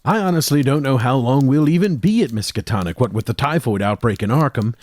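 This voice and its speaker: Man acting as animated young medical student. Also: medium pitch, a little fast, no echo, lightly acted. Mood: calm, cautious. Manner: polite, formal, reasoning. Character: earnest, cautious young male medical student. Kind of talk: audio horror drama acting.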